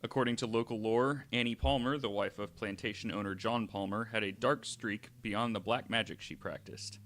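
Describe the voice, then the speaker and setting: A man's voice: fairly low pitch, fairly even intonation, moderate pace, a bit bright, a little hiss or hum. A man co-hosting a podcast, talking informally and wryly between casual chat and reading out stories. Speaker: podcast co-host.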